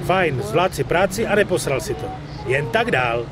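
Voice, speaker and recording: A brash young mobster, acted by a man, talks in a high voice full of street slang and swagger. He is cocky and streetwise, straight out of a crime video game. Music plays clearly behind him. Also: lively intonation, natural voice. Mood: breezy, streetwise. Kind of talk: dubbed crime game dialogue.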